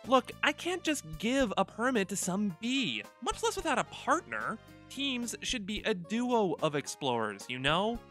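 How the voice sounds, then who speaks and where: A woman's voice: medium pitch, big pitch swings, measured pace, few pauses, fairly bright tone, soft background music. A woman voicing a strict, exasperated guild clerk in a fan dub of a video game. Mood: stern. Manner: exasperated, rule-bound.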